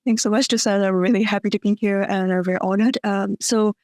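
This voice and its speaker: A woman's voice: mid-range voice, steady tone, brisk, darkish tone, dry recording, clean studio sound. A woman from Singapore, a guest on an interview podcast, talking conversationally with "like" and "um" fillers. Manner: chatty, uses "like" and "um" fillers.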